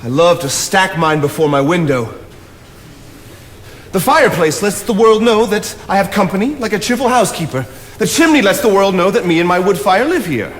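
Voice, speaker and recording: A man's voice: high voice, lively intonation, moderate pace. A man acting on stage as an earnest, solitary nature philosopher, declaiming and musing aloud. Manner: declamatory, musing, talks to himself. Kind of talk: theatrical stage play acting. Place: on stage in a theatre. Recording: reverberant theatre hall, noisy background.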